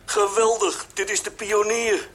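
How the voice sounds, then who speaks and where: An actor playing an earnest, patient spaceship radio operator in a radio drama, with deliberate call-sign delivery. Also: male voice, high voice, level tone, a bit bright, slight background noise. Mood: elated, drawn-out.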